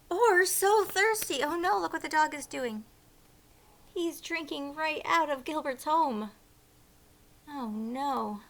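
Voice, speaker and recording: A woman reading a picture book aloud as a teacher would, animated, with hushed asides to young listeners. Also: high voice.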